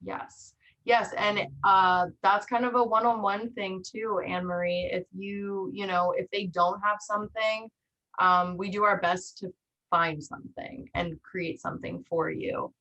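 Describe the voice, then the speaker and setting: A woman's voice: mid-range voice, steady tone, measured pace, sharp tone, low-quality recording. A woman chatting in an encouraging, informal way as co-host of an online team meeting. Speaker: real estate trainer co-hosting a meeting.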